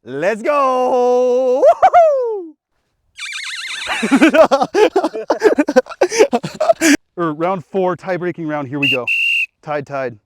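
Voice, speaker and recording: A man's voice: very high voice, wildly swooping pitch, extremely slow, pauses often, background noise. A young man hosting an archery vlog outdoors on public land, hyped and slangy, talking to the camera. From Pacific Northwest of the US. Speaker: vlogger. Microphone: action camera.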